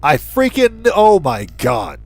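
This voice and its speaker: A man's voice: high-pitched, huge pitch swings, measured pace, dry recording. A man hosting a casual gameplay livestream, commenting in exclamations and emphatically repeated short phrases. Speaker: livestream host.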